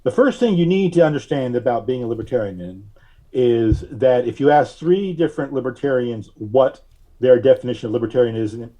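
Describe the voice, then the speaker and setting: A man giving measured, carefully worded explanations as a podcast guest. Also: mid-range voice, animated, warm tone. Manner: measured, deliberate, precise wording. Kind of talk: explanatory interview talk.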